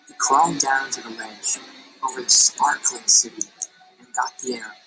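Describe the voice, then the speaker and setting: A woman teacher reading a picture book aloud in an expressive storytelling voice, slowing down on dramatic phrases. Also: very deep voice, rough recording. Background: music.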